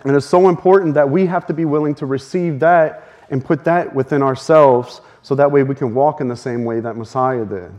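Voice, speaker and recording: A man preaching a sermon earnestly, his voice a little strained, speeding up in bursts. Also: medium pitch. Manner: earnest, with fast bursts and soft laughs. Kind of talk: sermon teaching.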